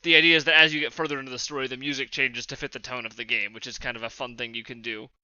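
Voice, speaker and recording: Quick excitable guy on a podcast, bright tone, swears a lot, a bit muffled. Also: mid-range voice, clean tone, no echo. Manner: animated, swears, acts out his reactions. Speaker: podcast co-host. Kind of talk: casual chat.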